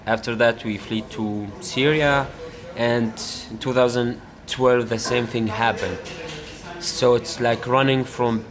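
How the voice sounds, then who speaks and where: A man's voice: mid-range voice, steady tone. Earnest young Iraqi-born man, crowd behind. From Iraq. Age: 20s. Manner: halting, searching for words, earnest. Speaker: refugee tour guide. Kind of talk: personal interview.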